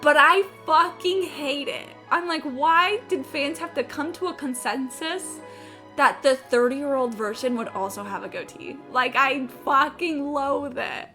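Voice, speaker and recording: A woman's voice: sits high, unhurried, sharp tone, soft background music. A woman livestreaming a game with casual, blunt, sweary, opinionated commentary.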